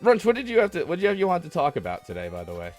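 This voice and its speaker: A man's voice: a little high, huge pitch swings, racing, soft background music. A man hosting a casual live stream, chatting with callers as he plays a game. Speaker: podcast and stream host. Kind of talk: casual live call-in chat while gaming.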